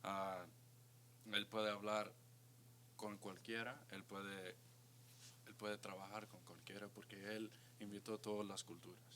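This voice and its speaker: A man co-hosting a youth faith podcast, talking slowly and dropping English words into his speech. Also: on the low side, little pitch movement, pushed and bright. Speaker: podcast co-host. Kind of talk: casual faith discussion. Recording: faint hum behind voice.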